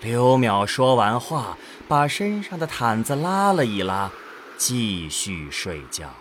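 A man's voice: medium pitch, very animated, takes it slowly, a bit bright, slight background noise. A man narrating a scripted science fiction audio drama.

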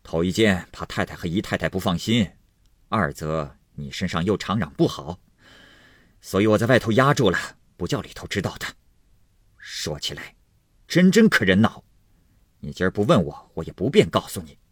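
A man's voice: sits low, sing-song, moderate pace, breathy edge, no room sound, studio quality, full character voice. A man playing a hot-tempered, sneering husband who blusters, snorts and grumbles sarcastically in a storybook reading. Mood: bitter grumbling.

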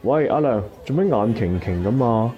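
Cantonese radio drama: teasing, easygoing chubby everyman played by a man, very mellow low-ish voice, muffled recording. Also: expressive, moderate pace, soft background music, some chatter behind, close to an everyday voice. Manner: joking, colloquial banter. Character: chubby male barbecue-stall owner, teasing, easygoing old classmate. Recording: dull lo-fi audio.

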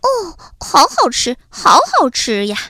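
A woman voicing a friendly, excitable city mouse in a children's story, hesitating and repeating words eagerly. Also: high-pitched, a little slow, dry recording, studio quality, exaggerated voice. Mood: delighted.

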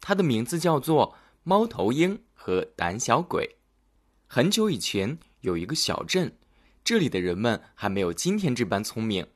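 A storyteller narrating a scripted fairy tale for children in an expressive, dramatic manner. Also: medium pitch, normal speed.

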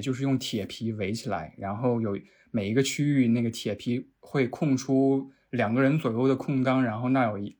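A man hosting a casual, reflective conversation, explaining things through detailed anecdotes. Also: mid-range voice. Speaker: podcast host.

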